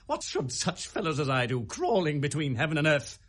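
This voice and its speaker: Brooding young prince, British accent, bitter, volatile, theatrical verse delivery, classical radio drama. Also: male voice, a little high, very animated, fairly bright tone. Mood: bitter, agitated. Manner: theatrical, deliberate verse delivery swinging to outbursts.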